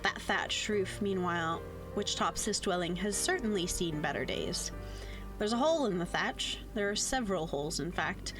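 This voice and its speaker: A woman reading as a visual novel's narrator in a measured, literary, wry and gently ominous storytelling manner. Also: lowish voice, lightly acted. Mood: wry, foreboding. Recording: music under voice.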